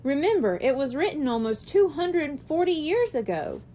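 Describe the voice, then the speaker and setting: A woman plays a cheerful male first-grade teacher from a children's audiobook, pitched high with lively swings. The teacher explains things patiently and likes to tease playfully. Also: normal speed, slight background noise. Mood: patient, explaining. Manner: explanatory, teasing. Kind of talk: children's audiobook character reading.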